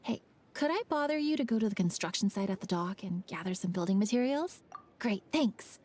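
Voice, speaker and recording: A woman's voice: mid-range voice, expressive, brisk, a little mellow, a little hiss or hum, close to an everyday voice. A woman voicing a friendly young lantern maker in a video game, motherly and coaxing.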